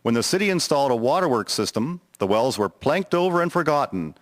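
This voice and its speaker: A man's voice: mid-range voice, very animated, brisk, dry recording, clean studio sound. A man reading scripted narration as the storyteller of an audio drama. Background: music.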